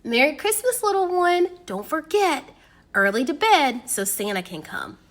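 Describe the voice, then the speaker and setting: Woman, very high voice, slow pace, sing-song children's storybook reading. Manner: sing-song, animated, speaking tenderly to a small child. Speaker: podcast host reading a bedtime story. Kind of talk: children's storybook read-aloud.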